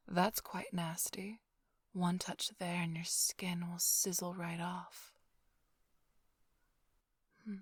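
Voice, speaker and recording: A woman's voice: on the low side, no room sound, heavily acted. A woman playing a flirtatious, smug female vampire thief in a whispered, teasing ASMR roleplay, drawling close to the microphone. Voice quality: breathy, whispery. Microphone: close-up studio microphone, dry.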